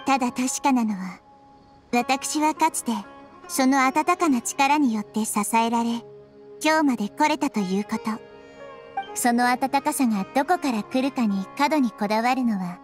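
Woman as a gentle, high-voiced wise girl deity. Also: faint music behind, natural voice. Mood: reflective. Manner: measured, soft-spoken, reflective. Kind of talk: Japanese anime game voice acting.

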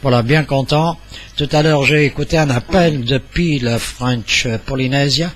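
An older man at a studio microphone in a small radio room, making a courteous amateur radio call. He speaks formally and haltingly, at a medium pitch. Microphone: studio mic on boom arm, headset.

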